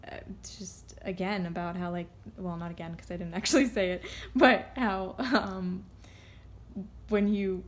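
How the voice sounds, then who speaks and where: A woman giving a casual, unscripted card reading, rambling and halting as she drifts off on tangents. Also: lowish voice. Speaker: tarot card reader.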